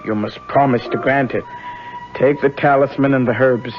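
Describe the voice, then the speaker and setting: Man acting a mysterious healer, mid-pitched, deliberate, commanding, radio drama, muffled broadcast with faint music. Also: steady tone, close to an everyday voice. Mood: instructing. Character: mysterious, controlled male healer dealing in talismans.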